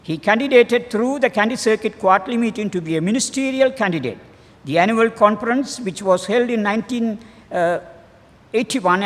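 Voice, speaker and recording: Older sri lankan guy, highish voice, reading out a formal church tribute kinda halting, big reverby hall. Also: a bit bright. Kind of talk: formal read-aloud biographical tribute.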